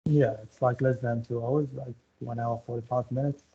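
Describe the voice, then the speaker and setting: A man's voice: mid-range voice, level tone, normal speed, dark tone, slightly rough. Polite man from Syria on a call. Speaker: student. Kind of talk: self-introduction. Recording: dull lo-fi video call audio.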